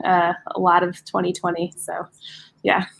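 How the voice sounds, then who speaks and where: A woman's voice: on the low side, completely flat delivery, moderate pace, gravelly, a bit bright, poor audio. Earnest woman in a casual interview. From United States. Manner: earnest, with many fillers and restarts. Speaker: guest, ministry director.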